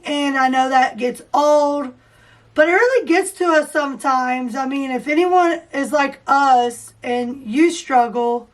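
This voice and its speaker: A hoarse woman hosting a casual podcast, rambling with fillers and restarts. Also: high-pitched. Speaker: podcast host. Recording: voice distant from the mic.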